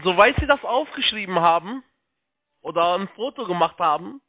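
A man working as a call-centre agent, giving halting step-by-step instructions, repeating himself and spelling things out. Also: high voice, animated. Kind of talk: step-by-step phone sales instructions. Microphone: telephone. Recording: tinny narrow phone line.

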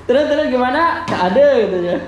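A woman's voice: sits high, lively intonation, fast, noisy background. A woman with an Indonesian accent hosting a casual, chatty interview, often echoing what she hears. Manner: reactive, often echoing the other speaker. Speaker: interviewer and channel host. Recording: echoey room with chatter behind.

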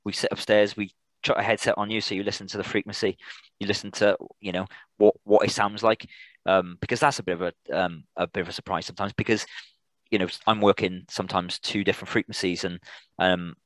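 British man, low voice, dry close sound, halting, informal presenter fielding questions. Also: level tone, dry recording, low-quality recording. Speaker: air traffic controller, guest presenter. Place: online video call.

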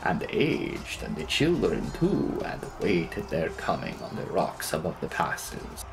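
A man voicing a proud, scornful old aristocratic vampire count in a dramatic audiobook, speaking slowly and formally with heavy weight. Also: low voice, vocal fry, pitched below the performer's own voice, heavily acted, rough recording. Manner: slow, weighty, formal old-fashioned phrasing. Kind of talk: dramatic audiobook character reading.

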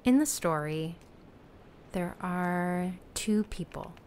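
An actress playing a reflective, anguished raft dweller from an ocean world in an audio drama, halting and often hushed. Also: female voice, fairly low pitch, lively intonation, clear, resonant tone. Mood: tender, hushed.

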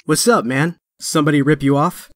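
An actor playing a young, wisecracking but stressed superhero in a comic dub, with a sardonic, conversational delivery. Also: male voice, a little high, brisk, a little hiss or hum, close to an everyday voice. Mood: exasperated. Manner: sardonic, conversational inner monologue. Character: young male superhero, wisecracking yet stressed and self-doubting. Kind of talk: dramatic comic dub acting.

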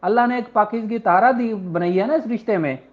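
A man with a Pakistani accent giving an informal, explanatory lecture as a law teacher. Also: high-pitched. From Punjab, Pakistan. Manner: explanatory, switches into slow deliberate reading. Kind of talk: informal lecture, explaining and reading out legal text. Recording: dull, lo-fi audio.

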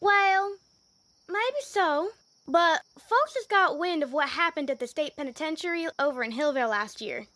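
Woman playing a high, bright folksy sheriff. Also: lively intonation, normal speed, no room sound. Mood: folksy, wary. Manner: folksy, rustic, hesitant. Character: folksy small-town female sheriff, gossipy and cautious. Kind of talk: amateur old-time radio drama acting.

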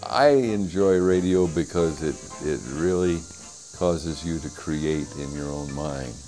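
A man's voice: a bass voice, slow pace, warm tone, faint music behind, rough recording. A man in his sixties chatting casually outdoors about hosting an old-time radio show, folksy and hesitant, on a lapel mic. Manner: modest, folksy and hesitant with many restarts. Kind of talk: casual interview chat. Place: shaded outdoor patio.

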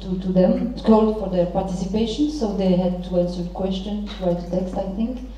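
Woman, middle-aged, panel moderator, deliberate and halting, very mellow tone, distant microphone sound. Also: mid-range voice, fairly even intonation, slight background noise. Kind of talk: formal panel introduction and questioning. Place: large theatre stage. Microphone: handheld microphone through hall speakers. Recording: very echoey theatre PA, distant, muffled.

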